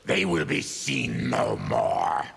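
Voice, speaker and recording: Fierce gravelly monster warrior. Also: male voice, rumbling low, huge pitch swings, slow, full character voice. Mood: grim. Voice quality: gravelly, growling. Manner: grave, formal, forceful. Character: fierce, embittered male monster warrior, proud former army tribune. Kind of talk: video game character dialogue.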